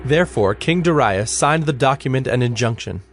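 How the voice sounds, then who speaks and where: A man's voice: mid-range voice, animated, normal speed, a little hiss or hum. A man narrating a dramatized scripture reading in a scripted storytelling style.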